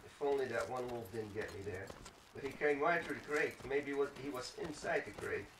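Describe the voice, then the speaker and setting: A man hosts a casual let's play, reacting to the game with muttering and swearing. His voice sits at a middling pitch, away from the mic in an echoey room. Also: moderate pace. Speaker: gaming video host. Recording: roomy, distant mic, clear background noise.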